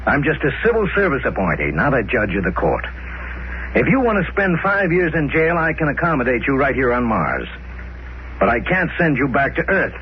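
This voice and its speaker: A man playing a stern, animated colonial official. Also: mid-range voice, moderate pace, lightly acted. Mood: firm, dismissive. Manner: brusque, scolding, sarcastic. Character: stern, impatient male colonial administrator on Mars. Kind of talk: 1950s radio drama acting. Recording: dull, noisy old 1950s radio recording.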